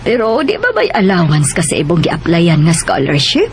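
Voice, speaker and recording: A concerned, very expressive mother. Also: fairly low pitch, normal speed, slight background noise. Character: concerned, questioning middle-aged mother of a student. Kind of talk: Cebuano radio drama acting.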